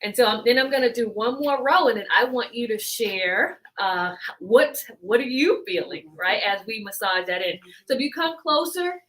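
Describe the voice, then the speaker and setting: A woman's voice: on the high side, animated, normal speed, few pauses, a bit bright. A woman from the Bahamas presenting and demonstrating her hair-care brand's products, explaining step by step and often checking in with "right?".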